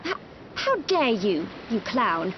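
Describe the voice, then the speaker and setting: A woman's voice: a little high, big pitch swings, slow, background noise. An actress with an English accent playing a dignified, guarded young noblewoman, speaking formally and precisely in a video game cutscene. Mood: indignant. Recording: dull compressed game audio under music. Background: prominent orchestral music.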